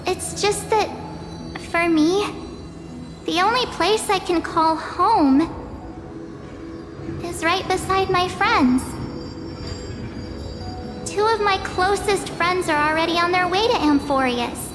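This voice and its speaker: Game casting: teenage girl adventurer, cheerful, loyal, earnest. Extremely high pitch, unhurried delivery. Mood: warm, determined. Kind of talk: anime game voice acting. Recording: long reverb, music behind.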